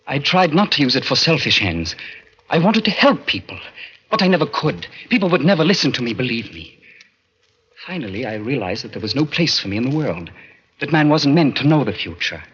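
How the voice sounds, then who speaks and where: An anguished living dead man cursed to see tomorrow, in 1940s radio horror style. His husky voice rises and falls in earnest, pleading swells. The old recording is muffled. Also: medium pitch, big pitch swings, normal speed, pauses often, a bit bright. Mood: sombre, confessional. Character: anguished, earnest undead man who foresees the future.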